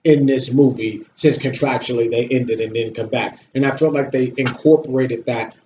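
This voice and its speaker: Male vlog reviewer, mellow but blunt and sweary, casual delivery, roomy lo-fi sound with faint noise. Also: medium pitch, fairly even intonation, moderate pace. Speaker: video host and movie reviewer. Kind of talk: casual opinionated movie review chat. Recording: roomy echo, dull audio, slight noise.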